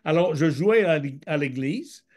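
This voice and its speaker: A man's voice: fairly high pitch, animated, unhurried, darkish tone. A middle-aged man from Michigan, a guest telling his life story in French over a video call, hesitating with many fillers. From Michigan, United States. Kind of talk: testimony interview.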